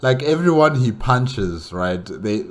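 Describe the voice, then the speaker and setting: A man's voice: medium pitch, expressive, slow. A man hosting a casual solo reaction video, commenting incredulously with rhetorical questions.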